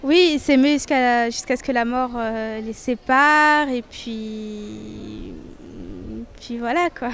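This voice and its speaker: Slow, hesitant teen schoolgirl. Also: a little high, creaky, fairly bright tone, clear, resonant tone. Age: teens. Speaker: school pupil interviewed. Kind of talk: street interview answers.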